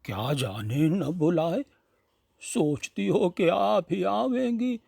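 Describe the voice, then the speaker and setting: A man's voice: sits high, animated, slow pace, vocal fry, darkish tone, slightly rough, no echo, studio quality, voice pushed up, full character voice. A man voicing a frail old village woman, hungry and brooding, muttering plaintively to herself in a storybook reading. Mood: anxious waiting.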